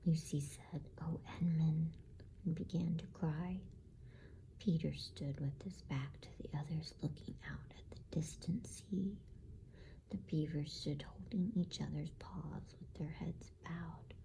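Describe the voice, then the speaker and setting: A woman reading a bedtime story in ASMR style, in a soft, hushed, breathy whisper. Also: low voice, steady tone, low-quality recording. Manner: slow, gentle, hushed.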